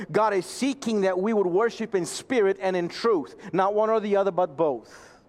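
High-voiced preaching man from Romania. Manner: earnest, emphatic, slows down for key phrases. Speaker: pastor. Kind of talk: sermon.

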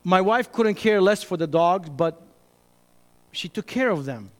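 A pastor preaching a sermon, emphatic, moving between loud bursts and hushed asides. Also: male voice, high voice, animated, measured pace. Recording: reverberant hall.

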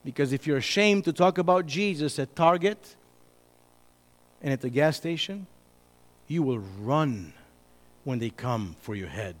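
A pastor preaching a sermon, emphatic, moving between loud bursts and hushed asides. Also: male voice, fairly high pitch, animated, unhurried, long pauses. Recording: reverberant hall.

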